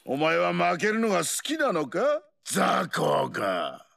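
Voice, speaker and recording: Game brawler: rowdy veteran bomber, gravelly, high-pitched for a man, big swooping delivery. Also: huge pitch swings, takes it slowly, a bit bright, full character voice. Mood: scornful, irritated. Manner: rough, swaggering, taunting slang. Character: brash, rowdy, cocky ageing male explosives-loving brawler. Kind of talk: video game character voice lines.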